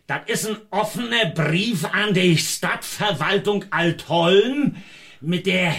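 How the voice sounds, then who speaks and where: An actor playing a gruff, hot-tempered, stubborn farmer with northern German dialect, blustering in a radio drama. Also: male voice, high voice, animated, takes it slowly, fairly bright tone, exaggerated voice. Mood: stern, demanding. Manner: blustering, rustic dialect speech.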